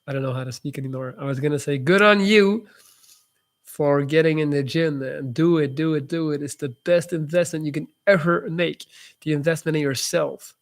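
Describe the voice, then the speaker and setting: A man's voice: fairly high pitch, fairly even intonation, moderate pace. A young man with Dutch as his first language, hosting a casual live Q&A and reading viewer messages aloud with loose, restarting answers. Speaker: livestream host answering viewer questions.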